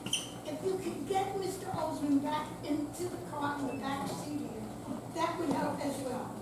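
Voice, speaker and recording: Woman reading as a high-voiced stern policewoman, echoey. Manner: formal, firm, deliberate. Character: stern, by-the-book female police officer. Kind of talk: staged reading of a play. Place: senior center hall, live performance. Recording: echoey room, distant mic, loud noise.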